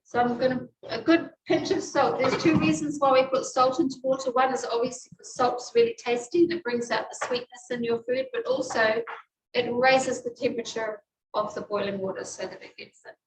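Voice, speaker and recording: A woman's voice: a little high, fairly even intonation, moderate pace, background noise. A woman with a New Zealand accent teaching a live cooking class, chatting as she explains each step while she cooks in a kitchen. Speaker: cooking instructor. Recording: echoey kitchen, distant mic.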